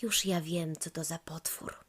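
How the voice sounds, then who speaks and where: A woman voicing a gentle, reassuring mother in a children's story, speaking softly and soothingly. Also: deep voice, fairly even intonation, unhurried, slightly rough. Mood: knowing. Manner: soft, soothing.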